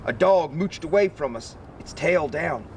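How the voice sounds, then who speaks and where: A man reading a children's picture book aloud as an expressive narrator. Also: fairly high pitch, unhurried, slight background noise. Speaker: story reader.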